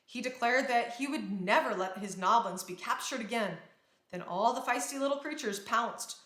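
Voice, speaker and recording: A woman narrates a children's storybook with dramatic expression. Her voice is fairly high and very bright, with crisp storytelling energy. The recording is noticeably roomy and echoing. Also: normal speed. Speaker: storybook reader.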